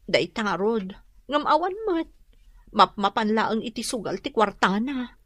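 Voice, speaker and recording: A woman's voice: fairly high pitch, expressive, unhurried, fairly bright tone, dry recording. A woman playing a worried, apologetic mother in a radio drama, pleading with a Filipino accent. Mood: troubled. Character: worried, pleading mother behind on boarding house rent.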